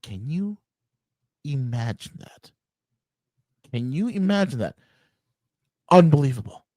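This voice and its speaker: A man's voice: mid-range voice, big pitch swings, slow pace, warm tone, dry recording. A man with a New York accent arguing emphatically on a podcast, often restarting and stammering in exasperation. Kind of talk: heated podcast debate.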